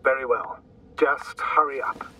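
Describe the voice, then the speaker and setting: A man's voice: medium pitch, unhurried, distant mic, background noise. A man playing an authoritative, impatient space mission commander in a video game, formal and commanding, heard over radio. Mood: stern, measured. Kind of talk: dramatic video game acting.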